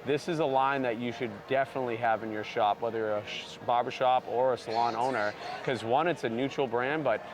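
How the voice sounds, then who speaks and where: A man's voice: medium pitch, fairly even intonation, a little fast, few pauses. A man who founded a barber brand, talking earnestly in a sit-down interview and leaning on lots of "you know" fillers. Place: outdoors at a busy trade convention.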